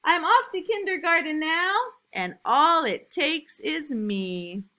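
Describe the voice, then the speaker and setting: A woman reading a rhyming storybook as an eager, imaginative little boy, in an emphatic sing-song with drawn-out line endings. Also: high voice, expressive, sharp tone, pitched above the performer's own voice, exaggerated voice. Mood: eager, excited. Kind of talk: rhyming storybook read aloud.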